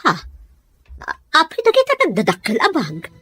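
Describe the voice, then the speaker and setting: A woman's voice: a little high, wildly swooping pitch, normal speed, bright, forceful tone, breathy edge, exaggerated voice. A woman playing an anxious mansion mistress and mother in an Ilocano audio drama, fretful and questioning.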